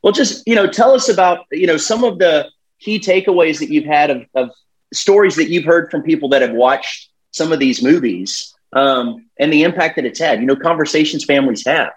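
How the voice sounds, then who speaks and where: A male co-host with a slightly high voice asks questions in an encouraging, affirming way. He often answers his guest with quick words of agreement. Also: rough recording. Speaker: podcast co-host. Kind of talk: casual interview hosting.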